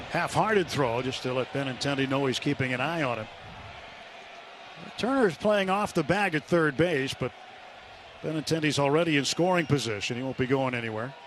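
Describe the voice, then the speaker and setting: A man doing live radio play-by-play of a baseball game, measured and descriptive, filling gaps between pitches with statistics. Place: stadium broadcast booth. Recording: noisy ballpark radio broadcast. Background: loud ballpark ambience.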